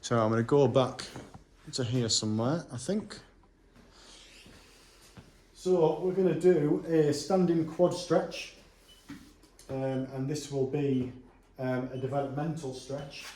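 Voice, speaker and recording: A man working as a personal trainer, calmly talking a client through stretches in a gym, his voice a little hoarse. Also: mid-range voice, slow, pauses often, slight background noise. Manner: relaxed, reassuring instructions with frequent fillers. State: going hoarse. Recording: echoey room from a distance.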